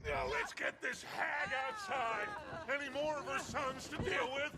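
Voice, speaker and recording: A high-voiced, theatrical outlaw gang leader. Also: male voice. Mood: angry command. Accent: American. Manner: theatrical, oratorical, deliberate. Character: commanding male outlaw gang leader, grandiose and menacing. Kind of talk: video game cutscene acting.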